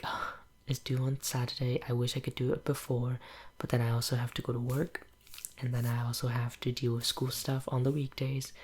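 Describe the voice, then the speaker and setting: A young woman whose first language is Cantonese, chatting in a soft ASMR whisper at home, rambling with lots of fillers. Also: very deep voice, husky. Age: young adult. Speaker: ASMR channel creator. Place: home room with green screen.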